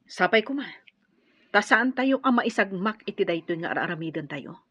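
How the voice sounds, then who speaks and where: A woman's voice: mid-range voice, expressive, moderate pace, a bit bright, husky. A woman playing a grim older rebel comrade who earnestly admonishes a younger woman in an Ilocano radio drama. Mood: grim resolve. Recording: dull, roomy, lo-fi radio recording.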